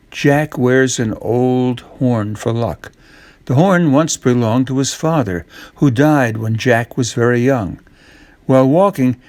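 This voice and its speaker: An older man narrates a children's storybook. His delivery is slow and unhurried, at a middling male pitch, in a dry recording with very little room around it. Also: darkish tone, no room sound.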